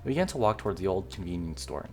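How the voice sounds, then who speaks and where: A man's voice: deep voice, very animated, racing, faint music behind. A man reading a visual novel aloud as a gaming video creator, with casual commentary.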